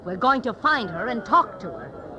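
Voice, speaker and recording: A woman's voice: medium pitch, sing-song, moderate pace, music in the background, close to an everyday voice. An actress playing a worried, wavering mother of grown daughters in a Bible drama, hesitant and emotional. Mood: secretive, brisk. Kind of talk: dramatized Bible story acting.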